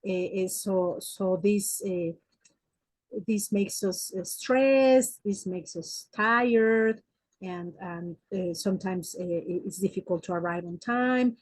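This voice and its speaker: A woman's voice: mid-range voice, takes it slowly, no echo. Hesitant Mexican woman psychotherapist. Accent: Mexican Spanish. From Mexico City. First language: Spanish. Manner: hesitant, many "eh" fillers and restarts. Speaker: psychotherapist guest. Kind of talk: interview answers.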